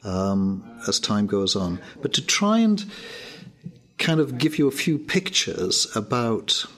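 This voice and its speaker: An older man from Wales, answering interview questions in a measured, thoughtful way. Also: on the low side, very animated, fairly bright tone. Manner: measured, thoughtful, with pauses and fillers.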